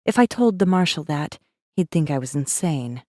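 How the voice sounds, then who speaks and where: A woman's voice: low-pitched, expressive, normal speed, darkish tone, no room sound, clean studio sound. An actress playing a guarded, wry rebel messenger, narrating her own story in an intimate, wary, sardonic way for a space fantasy audiobook. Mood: guarded, brooding. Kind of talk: first-person dramatic audiobook narration.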